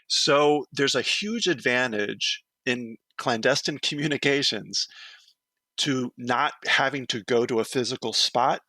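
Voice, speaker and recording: A man giving an expert guest's measured explanations, often checking in with "right?". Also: medium pitch, pushed and bright, no echo. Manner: measured, explanatory, tag questions. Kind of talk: interview answers.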